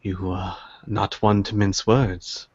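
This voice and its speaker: Tabletop game role: elderly wolf-man merchant played by a man, slow, polite, sage-like and deliberate, dull close recording. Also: fairly low pitch, level tone, breathy edge, no room sound, pitched below the performer's own voice, exaggerated voice. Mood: measured, shrewd. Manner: deliberate, courteous, musing with drawn-out pauses. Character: polite old wolfen tea-house keeper, a shady dealer.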